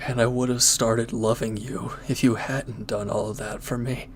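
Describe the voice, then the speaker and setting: A man playing a former villain turned hesitant, conflicted lover, speaking earnestly and haltingly in an intimate audio roleplay. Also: medium pitch, level tone, slight background noise, lightly acted. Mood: regretful, earnest.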